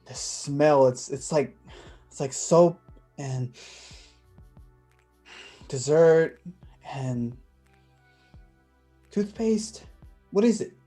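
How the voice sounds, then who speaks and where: Man acting awkward, soft-toned, nervous young guy. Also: on the high side, animated, soft background music. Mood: flustered. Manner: halting, fumbling, self-conscious. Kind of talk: staged play reading.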